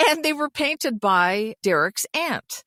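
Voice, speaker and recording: A middle-aged woman with a Canadian accent co-hosting a podcast in casual, conversational chat, telling things in an animated, anecdotal way. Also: high-pitched, no room sound. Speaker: podcast co-host.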